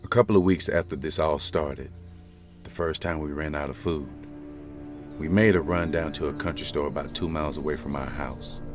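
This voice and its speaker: A man's voice: very deep voice, steady tone, moderate pace, faint music behind. A man playing a hardened, haunted father surviving a zombie apocalypse in a comic dub drama. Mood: grim recollection.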